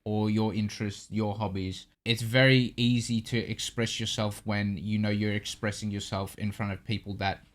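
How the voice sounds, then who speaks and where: A man's voice: fairly low pitch, level tone, normal speed, hardly any pauses. Easygoing man in his thirties chatting. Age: 30s. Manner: easygoing, chatty, with restarts and laughs. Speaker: co-host of a reaction channel. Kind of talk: casual chat.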